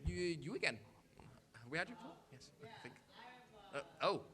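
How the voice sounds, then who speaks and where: A young man talking casually on a convention panel, halting and scattered as he fields questions from the audience. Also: high-pitched, big pitch swings, crowd noise. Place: convention meeting room with audience. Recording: live convention room recording.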